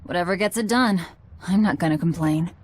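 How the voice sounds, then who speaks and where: Woman as a low-voiced, brusque young fighter. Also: fairly quick, darkish tone, slight background noise, lightly acted. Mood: dry, impatient. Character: brusque, guarded, sardonic young female fighter.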